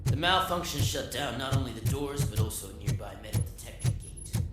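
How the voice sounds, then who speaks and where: An actor playing a gruff, irritable security guard in a video game, blunt and grumbling with a sarcastic streak. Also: male voice, mid-range voice, expressive, brisk, over loud music, natural voice. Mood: businesslike.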